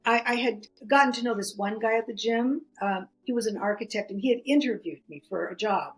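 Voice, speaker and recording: A middle-aged woman whose first language is Greek, a podcast guest telling stories in a lively way, full of restarts and "you know". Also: fairly high pitch, fairly quick, faint music behind, low-quality recording. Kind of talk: conversational storytelling.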